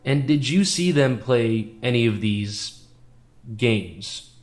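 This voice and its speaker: A man's voice: medium pitch, animated, takes it slowly, faint music behind. A man playing a formal, skeptical trial lawyer questioning witnesses in an audio drama. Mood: probing, formal.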